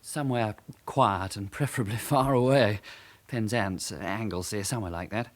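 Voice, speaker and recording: A man's voice: on the low side, moderate pace, natural voice. An English actor playing a nervy, well-spoken con man on the run in a British radio drama. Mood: anxious.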